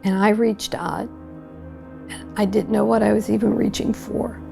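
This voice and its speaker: A woman in her sixties recounts a personal experience with feeling. Her voice is very gravelly, full of vocal fry, at an ordinary female pitch, and loud music plays underneath. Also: level tone, measured pace. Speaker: survivor giving testimony. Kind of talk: emotional personal recollection in a documentary interview. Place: living room with wood paneling and stone fireplace. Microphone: lapel mic. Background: prominent background music.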